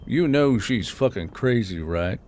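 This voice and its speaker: A man voicing a wary, blunt male soldier in an audiobook, speaking low, understated and to the point. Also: animated, a little slow, darkish tone, lightly acted. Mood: wry.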